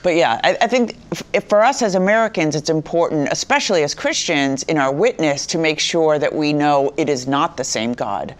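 Female guest, low voice, earnest explainer. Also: lively intonation, normal speed. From Iran. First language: Farsi. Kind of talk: conversational interview.

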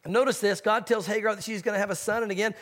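A pastor preaching from the pulpit, a man with a strikingly high voice. He drives his points home by repeating key phrases in an emphatic way. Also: fairly even intonation, very fast, a bit bright. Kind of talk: sermon.

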